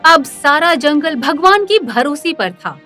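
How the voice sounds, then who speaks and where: Bright, high-pitched woman storyteller. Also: sing-song, slow. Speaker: narrator. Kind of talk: scripted dramatic story narration for animation. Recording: music under the voice.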